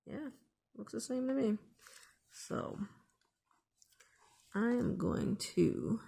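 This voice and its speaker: A woman's voice: fairly low pitch, creaky, mellow, slightly rough. A woman hosting a casual hands-on DIY tutorial, thinking aloud in an unscripted way as she works. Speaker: DIY video host.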